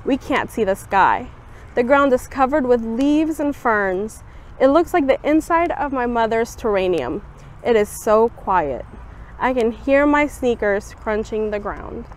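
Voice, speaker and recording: A woman's voice: fairly high pitch, a little slow, a little hiss or hum. Young woman reading a picture book aloud. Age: young adult. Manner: expressive storybook reading with lively voiced quotes. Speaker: student volunteer reader. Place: outdoors on a campus bench.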